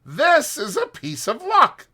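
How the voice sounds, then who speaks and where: A man voicing a boastful, excitable toad from a storybook in a pompous, exclaiming manner. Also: extremely high pitch, slow, voice pushed up, heavily acted. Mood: gleeful, self-satisfied.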